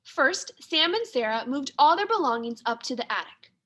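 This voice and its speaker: A woman teacher reading a children's story aloud in an expressive, clearly paced manner. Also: high voice, moderate pace. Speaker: second grade teacher.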